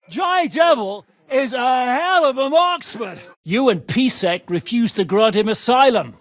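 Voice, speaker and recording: An actor playing a gruff, battle-hardened rebel commander in a video game, barking orders, swearing and mocking his foes. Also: high voice, no echo, clean studio sound, full character voice.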